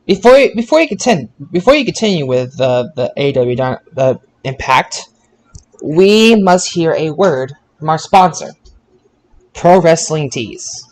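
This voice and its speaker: A man's voice: fairly high pitch, very animated, unhurried, rough recording. A man hosting a podcast, giving a casual recap of wrestling shows. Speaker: podcast host.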